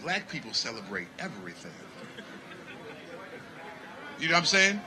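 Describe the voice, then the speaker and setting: Jazz musician guest, bright and lively, faint outdoor crowd hum. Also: male voice, medium pitch, normal speed. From Connecticut, United States. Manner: animated, preachy, repeats "you know what I mean". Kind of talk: casual outdoor festival interview.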